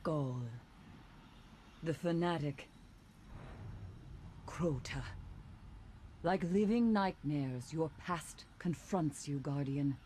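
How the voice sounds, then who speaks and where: A woman's voice: deep voice, dark tone, slight background noise. An actress playing a grave, haunted woman mystic in a video game, speaking in a solemn, cryptic, theatrical way. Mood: haunted, resolute. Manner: solemn, theatrical, deliberate delivery. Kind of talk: dramatic video game voice acting.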